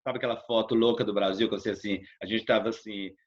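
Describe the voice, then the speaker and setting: A middle-aged man from Brazil with a Brazilian Portuguese accent, casually telling his life story as an interview guest, rambling and relaxed with frequent tag questions. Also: on the low side, fast, highs cut off.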